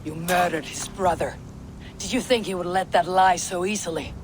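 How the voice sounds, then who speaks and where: A woman's voice: fairly low pitch, steady tone, moderate pace, a little hiss or hum, natural voice. A woman playing a grim, steely Viking warrior who can be tender, in a video game. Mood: grim, resolute.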